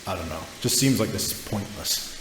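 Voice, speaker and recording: Man playing offhand, low-voiced criminal henchman. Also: expressive, brisk, a bit bright, reverberant, slight background noise. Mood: doubtful, weary. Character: casual, businesslike criminal henchmen talking shop.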